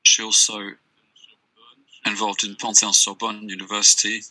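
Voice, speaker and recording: A man's voice: lowish voice, monotone, sharp tone, no room sound, recorded from a distance, poor audio. A man moderating an academic panel, introducing the next speaker with frequent hesitations and fillers. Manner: halting, with frequent fillers and restarts. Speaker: session moderator.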